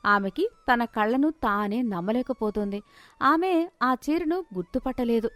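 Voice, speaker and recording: A woman narrates a scripted moral story as its storyteller. Her voice is fairly high. The recording is dry, without room sound. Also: expressive, a little slow, fairly bright tone, no room sound.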